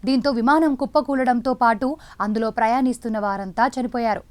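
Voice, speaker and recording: A woman's voice: a little high, fairly even intonation, fairly quick. A woman news presenter, delivering scripted news narration in a dramatic, emphatic way.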